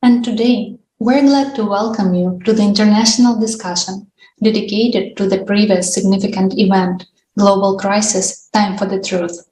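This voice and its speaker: A woman's voice: mid-range voice, fairly even intonation, moderate pace, mellow. A woman co-hosting an international discussion, reading scripted announcements. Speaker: co-host of an international online discussion. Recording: echoey room with background noise.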